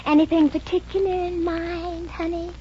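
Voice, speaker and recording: High-pitched, coy young woman librarian. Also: flat delivery, normal speed. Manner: coy, cattily polite. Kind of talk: 1950s radio comedy acting. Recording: muffled, noisy 1950 radio recording.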